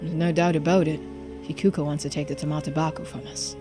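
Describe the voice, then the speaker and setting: A woman voicing a kind, earnest gentleman hero in a video game, theatrical and quietly resolute. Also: low voice, level tone, brisk, voice pushed down, close to an everyday voice. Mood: wary. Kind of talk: video game character dialogue read-aloud. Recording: music behind the voice.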